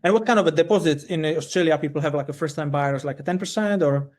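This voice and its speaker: A man's voice: on the high side, quick pace. A man hosting a podcast, asking long, rambling questions full of restarts. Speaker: podcast host. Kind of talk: interview questions.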